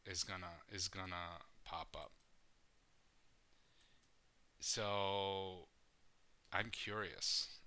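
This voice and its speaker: A man's voice: on the low side, pushed and bright. A man hosting a casual podcast, rambling with lots of fillers and swearing. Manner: rambling, profane, filler-heavy. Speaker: podcast host.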